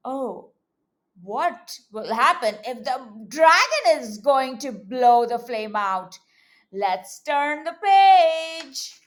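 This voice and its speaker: A woman reading a storybook aloud to young children as a teacher, animated, with exclamations, coaxing questions and repeated words. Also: sits high, drawn-out words.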